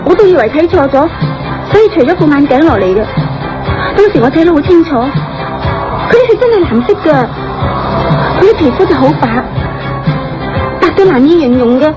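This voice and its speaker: A woman's voice: sits high, very animated, normal speed, long pauses. An actress playing a polite, earnest, easily frightened young female star in a radio drama. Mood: agitated. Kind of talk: Cantonese radio drama acting. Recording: dull lo-fi audio with background music.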